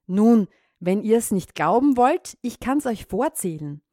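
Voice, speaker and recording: A woman's voice: medium pitch, very animated, takes it slowly, dark tone, dry recording, clean studio sound. A woman voicing a stubborn old farmer who scolds and rants indignantly in a storybook reading. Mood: scolding.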